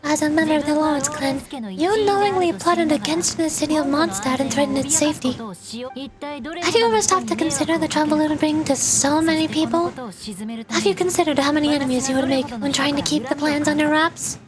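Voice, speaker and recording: Woman as a high-voiced, defiant noble lady knight. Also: fairly even intonation, fairly quick, music in the background, natural voice. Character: proud young noblewoman knight, principled and defiant.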